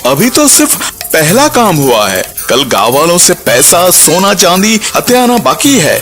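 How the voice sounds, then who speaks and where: A man playing a greedy, cowardly tantric sorcerer in a cartoon, with a shrill, wheedling, theatrical delivery. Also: sing-song, measured pace, over music, full character voice. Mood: scheming, coaxing. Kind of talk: animated cartoon story acting.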